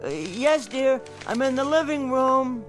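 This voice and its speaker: Meek, stammering American henpecked husband. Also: male voice, extremely high pitch, expressive, unhurried, soft background music. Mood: meek, flustered. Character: timid, bookish, henpecked middle-aged husband, gently dignified. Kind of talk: scripted radio drama acting.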